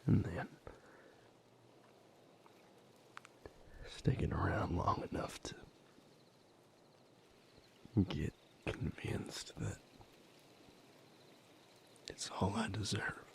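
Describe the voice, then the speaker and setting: A man playing a bitter, wounded young man in an intimate audio roleplay, speaking haltingly in a breathy, gravelly murmur with long pauses. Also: fairly low pitch, expressive, in a whisper, exaggerated voice. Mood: bitter, weary. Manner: halting, confessional, broken phrases with long pauses. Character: bitter, wounded young man breaking from a manipulative vampire patriarch.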